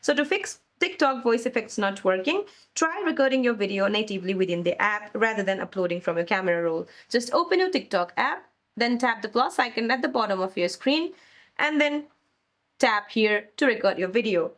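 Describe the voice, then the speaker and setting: A woman's voice: medium pitch, lively intonation, a little fast, a bit bright. A woman hosting a step-by-step tutorial, reading out instructions phrase by phrase. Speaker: tutorial host. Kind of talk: step-by-step scripted tutorial narration.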